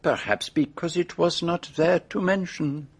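Radio drama lead: courteous French-accented sleuth, highish husky voice, precise, slyly probing. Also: male voice, unhurried. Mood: intrigued. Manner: formal, precise, gently probing. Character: courteous, shrewd foreign male detective, fussy and sly.

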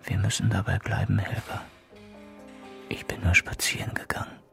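An actor playing an anxious but defiant prisoner under interrogation, intense, brooding and halting, in a radio play. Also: male voice, sits low, little pitch movement, creaky, pushed and bright, in a whisper, faint music behind, exaggerated voice. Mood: fearful, brooding.

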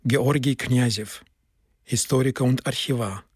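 A man with a raspy, breathy voice announces names and titles in a sober documentary narration. His pitch sits in the middle range, and the recording is dry and close. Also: unhurried, no room sound. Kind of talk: documentary radio drama announcing.

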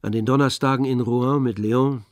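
A man with a creaky voice giving scripted literary narration in a radio drama. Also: medium pitch, steady tone, a little fast, no echo. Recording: studio radio drama with music underneath.

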